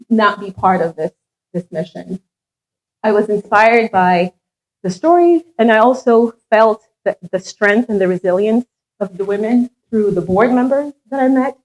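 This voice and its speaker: A middle-aged woman speaking at a community meet and greet, telling her story earnestly with now and then a light touch of humor about herself. Her first language is French, and her voice sits at an ordinary middle pitch for a woman. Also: darkish tone, muffled recording. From Ghana, raised in France. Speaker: head of a charitable foundation. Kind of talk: public speech at a meet and greet.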